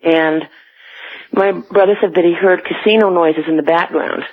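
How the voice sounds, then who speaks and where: A woman's voice: fairly low pitch, fairly even intonation, moderate pace. A woman phoning a radio show and telling a personal story in a conversational way. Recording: tinny, narrow telephone line.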